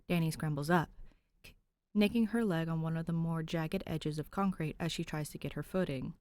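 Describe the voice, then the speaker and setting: A woman's voice: low voice, normal speed, darkish tone. A woman narrating a scripted action story as an audiobook-style reader. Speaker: podfic narrator.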